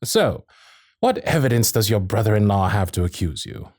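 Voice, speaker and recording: Animated, courtly Victorian gentleman, acted by a man. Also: fairly low pitch, normal speed, no room sound, studio quality. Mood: probing, concerned. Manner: formal, genteel period diction, flirtatious. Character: courtly, infatuated Victorian gentleman suitor, protective and witty. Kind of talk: ASMR romantic roleplay, period piece acting. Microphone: close studio microphone.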